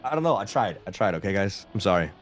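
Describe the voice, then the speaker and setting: A man from Denmark chats casually with his live stream viewers, answering their questions aloud as they come in. His voice sits on the low side, and he readily pokes fun at himself. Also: very animated, fast, a little hiss or hum. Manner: chatty, answers chat questions aloud, self-deprecating.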